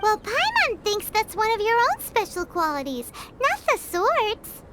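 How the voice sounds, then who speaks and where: Tiny game fairy, extremely high childlike voice, cheeky, perky, animated, music behind. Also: female voice, a little slow, very noisy, heavily acted. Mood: playful. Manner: speaks of self in third person, perky. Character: tiny floating childlike fairy companion, cheerful, cheeky, food-loving.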